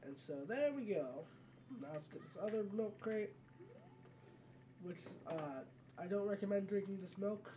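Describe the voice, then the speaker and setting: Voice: mid-range voice, animated, a little slow, pauses often. A video game let's player giving casual, rambling commentary and often correcting themselves mid-sentence. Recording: mic at a distance, background noise.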